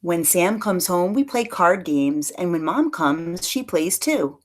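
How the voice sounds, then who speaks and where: A woman reading a children's picture book aloud in an expressive storytelling manner, like a teacher to pupils. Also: lowish voice, slow. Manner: expressive storytelling, acts out dialogue lightly.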